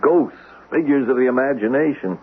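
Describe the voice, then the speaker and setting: An actor playing a loyal, concerned husband and war veteran who reasons calmly in an old-time radio drama. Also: male voice, medium pitch, moderate pace, slight background noise, close to an everyday voice. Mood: concerned, reassuring. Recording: tinny, narrow-band radio-drama recording.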